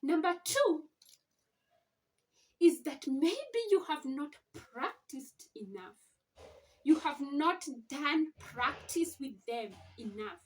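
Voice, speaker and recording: A woman giving an informal reading lesson to parents, explaining deliberately and posing questions as she teaches. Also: high voice, lively intonation. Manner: deliberate, sounds out letters and words, asks rhetorical questions. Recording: slightly distant microphone.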